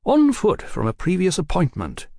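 A man voicing a courteous, clipped gentleman, in a storybook reading. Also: high voice, huge pitch swings, moderate pace, creaky, no room sound, clean studio sound, voice pushed up, full character voice. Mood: cool. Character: genteel English gentleman agent, cool, polite.

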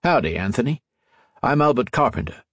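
A man's voice: medium pitch, big pitch swings, a little fast, slightly rough, no echo. A man voicing a scheming male assassin who poses as a genial, folksy American in an audiobook story. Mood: hearty. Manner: falsely hearty, folksy.

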